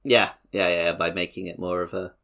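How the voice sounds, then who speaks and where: A man's voice: deep voice, little pitch movement, moderate pace, gravelly, sharp tone. A man co-hosting a gaming podcast, explaining things in an informal, conversational way. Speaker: podcast co-host. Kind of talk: casual gaming podcast chat.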